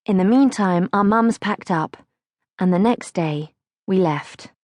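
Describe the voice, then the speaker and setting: A woman's voice: medium pitch, a little slow, no echo. A woman narrating a childhood diary as an audiobook, reading expressively from a script. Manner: expressive, acts out questions.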